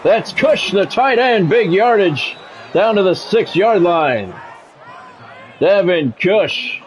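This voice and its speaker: A middle-aged American man with a slightly high voice commentates on a football game in a practiced radio-announcer manner. He gives short, clipped calls and slips into casual chat with his viewers. Some noise and ambience sit behind his voice. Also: lively intonation, slow pace, some chatter behind. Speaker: livestream host and sports play-by-play announcer.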